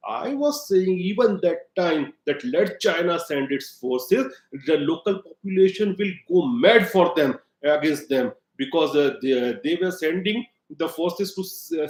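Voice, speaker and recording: A man's voice: high voice, a little mellow, roomy sound, low-quality recording. A man with an Indian accent, a native Hindi speaker, giving blunt, emphatic political commentary with frequent "uh" fillers. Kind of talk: solo commentary monologue.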